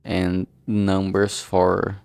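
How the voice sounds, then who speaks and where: A man with a lowish voice and a strong creak talks off the cuff to his stream. He rambles and stumbles as he hunts for words, dropping casual swearing along the way, over a streaming mic. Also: monotone, a little mellow, dry recording. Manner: rambling, halting, often losing his thread. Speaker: streamer and amateur game writer. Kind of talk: casual livestream chat. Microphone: filtered streaming microphone. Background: background music.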